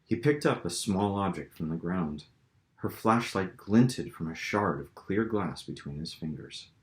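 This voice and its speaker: A man's voice: deep voice, lively intonation, measured pace. A man reading his own novel aloud as author, in a dramatic storytelling style. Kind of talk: dramatic audiobook-style narration. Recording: roomy, echoing room sound.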